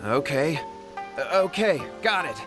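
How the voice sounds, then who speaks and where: A nervous, stammering young petitioner. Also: male voice, a little high, lively intonation, measured pace. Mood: worried. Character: anxious young shrine visitor begging for help. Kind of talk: video game voice acting. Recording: studio voice with game music.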